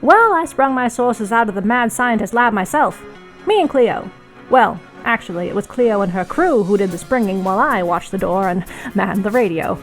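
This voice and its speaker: A woman's voice: medium pitch, lively intonation, normal speed, faint music behind, lightly acted. A woman voicing a brash, smitten male rebel radio broadcaster in an audiobook, with theatrical, emphatic delivery. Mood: boastful.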